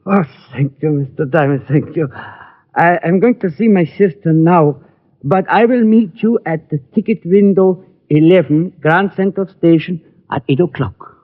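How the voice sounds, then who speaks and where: An actor playing a nervous, grateful immigrant gunmaker in 1940s radio style. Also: male voice, on the high side, moderate pace, lightly acted. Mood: grateful, relieved. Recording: tinny old radio recording, long reverb.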